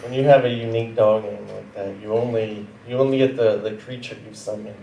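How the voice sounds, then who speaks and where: Southern guy doing standup, rambly and deadpan, roomy theater sound picked up from back a ways, faint room hum. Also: on the low side, a little fast, vocal fry. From Arkansas, US South. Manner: laid-back, rambling, deadpan with self-corrections. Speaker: stand-up comedy student. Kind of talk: stand-up set. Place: comedy theater stage. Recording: roomy theater mic from a distance.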